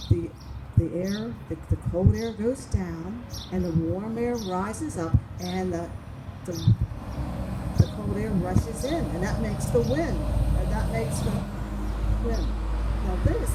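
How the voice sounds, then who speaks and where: A woman reads picture books to young children at an unhurried, slow pace. She draws out words for drama and keeps turning to her listeners with simple questions. The recording is made outdoors, with loud noise and chirping birds around her voice. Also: mid-range voice. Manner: asks the listeners frequent simple questions, dramatic word stretching. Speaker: children's storytime reader. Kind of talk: reading picture books aloud to young children, chatting between lines. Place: outdoors among flowers and open sky.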